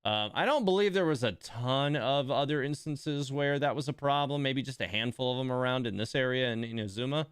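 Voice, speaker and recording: A man's voice: medium pitch, brisk, hardly any pauses, clear, resonant tone, no echo, clean studio sound. A man giving casual, chatty game commentary as a streamer, talking to viewers and repeating words for emphasis.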